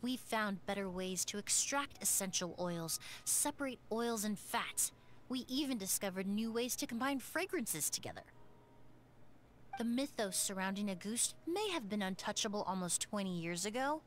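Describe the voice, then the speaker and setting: An actress voicing a calm, composed young woman perfumer who lays out the case in a video game, over game music. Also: mid-range voice, normal speed, slight background noise, lightly acted.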